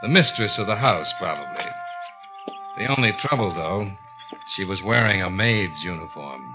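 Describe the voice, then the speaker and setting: A man's voice: sits low, animated, normal speed, music in the background, rough recording. A man playing a cool, laconic insurance investigator in a 1950s radio detective show, dry and knowing. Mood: wry, narrating. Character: wry, cool, tough male insurance investigator. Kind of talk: 1950s radio detective acting and first-person narration.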